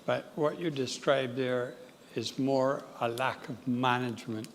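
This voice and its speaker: A man on a webinar panel speaking deliberately, making his point with a plain analogy. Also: medium pitch, fairly even intonation, a little hiss or hum. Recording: reverberant room.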